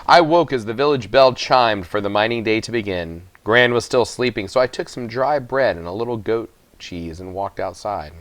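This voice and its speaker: A man's voice: on the low side, animated, moderate pace, hardly any pauses, no echo. A man narrating a children's novel aloud from the text as a storybook reader.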